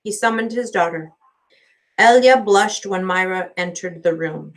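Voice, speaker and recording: A woman narrating a children's novel aloud as a teacher would, with expressive storybook delivery. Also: on the low side, unhurried.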